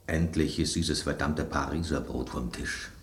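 Deep grumbly sceptical tableware character, radio drama, bit theatrical, roomy sound. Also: male voice, fairly even intonation, a little slow. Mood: sardonic. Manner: sardonic, theatrical. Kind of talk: German radio drama acting. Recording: roomy echo on the voice.

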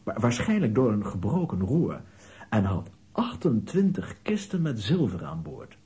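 An actor playing an earnest professor in a children's audio play, lecturing with slight hesitation. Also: male voice, fairly low pitch, very animated, measured pace, mellow, slightly rough, exaggerated voice. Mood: explaining. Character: learned male professor, earnest and explanatory.

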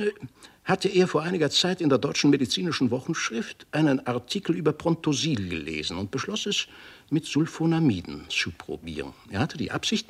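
An actor playing a friendly, skeptical old doctor who explains things in a scholarly, deliberate way in a 1960s German radio drama. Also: male voice, a little high, creaky, husky, exaggerated voice. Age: older. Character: friendly old doctor, skeptical, learned leper-clinic director.

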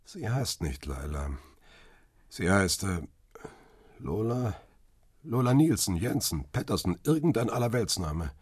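An actor playing a world-weary, guarded paparazzo who narrates a radio crime drama in a measured, understated, husky way. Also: male voice, fairly low pitch, big pitch swings, darkish tone, no room sound, studio quality, heavily acted. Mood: guarded, hesitant.

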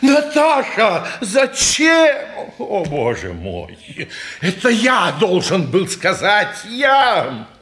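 An actor playing a flustered, sentimental old gentleman, pompous and stammering, in a 1950s Russian stage drama. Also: high-pitched, sing-song, takes it slowly, exaggerated voice. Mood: wounded, lamenting. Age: older.

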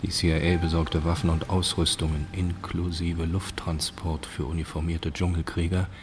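Very low, even-toned male narrator. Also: normal speed, slight background noise. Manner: sober, factual. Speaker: documentary narrator. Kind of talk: scripted documentary narration in a radio drama. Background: music.

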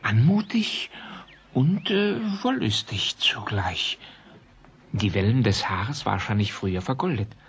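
An actor playing a proud, effusive provincial antiquarian in middle age, florid and courteous, in a German radio play. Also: male voice, lowish voice, very animated, slow pace, husky, exaggerated voice. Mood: rapt, admiring.

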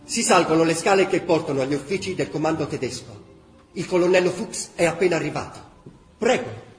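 A male actor playing a troubled diarist who witnesses his occupied city, reading solemnly and deliberately in a radio drama. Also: on the high side, animated, lightly acted. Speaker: diary reader in a radio drama. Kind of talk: dramatic reading of a wartime diary. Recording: roomy, echoing radio theatre sound.

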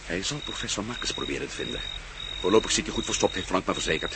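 An actor playing a decisive adventurer in a radio drama, explaining danger with emphasis and giving orders. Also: male voice, sits low, animated, fast, gravelly. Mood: grave, explaining. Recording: noisy old radio drama recording.

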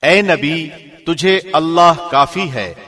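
A man reading a scripture translation from a script in a measured, formal announcer's delivery. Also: a little high, pushed and bright. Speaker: translation reader, radio host.

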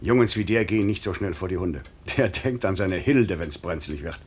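An actor playing a stern, demanding middle-aged airline director in a 1950s German radio drama, giving clipped commands and impatient rebukes. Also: male voice, low voice, moderate pace, slight background noise, natural voice. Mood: brooding, worried. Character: stern, demanding airline director, gruff but secretly fond. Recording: slightly muffled 1950s radio recording.

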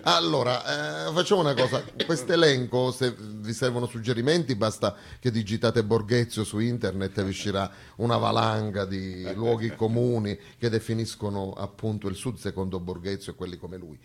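A man delivers a satirical theatrical monologue in a gravelly, husky voice, sarcastic and emphatic, with rhetorical questions and pointed pauses. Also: lowish voice, fairly even intonation. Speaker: stage actor and monologist.

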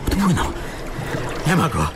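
An actor in a radio drama playing a sardonic small-time crook adrift at sea, with a sarcastic, needling manner. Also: male voice, on the high side, huge pitch swings, a little slow. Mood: settling down. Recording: clear water and sea noise behind.

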